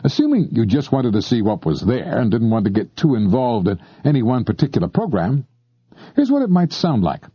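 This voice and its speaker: A man's voice: medium pitch, sing-song, a little fast, husky, dry recording, dull audio. A man giving scripted explanatory narration in a conversational way, speaking straight to the listener. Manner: conversational, addressing the listener directly. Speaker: narrator of an instructional tape on shortwave listening.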